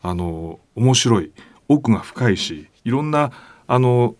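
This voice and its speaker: A man's voice: medium pitch, animated, takes it slowly, darkish tone, no room sound. A man talking casually as a podcast guest, earnest and eager to agree. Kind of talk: casual chat.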